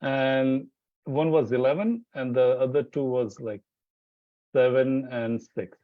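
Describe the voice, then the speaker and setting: A man's voice: mid-range voice, takes it slowly, no echo, narrow, tinny audio. A man speaking as an interview guest, rambling with many restarts and fillers. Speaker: Hindi teacher, interview guest. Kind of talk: casual interview chat.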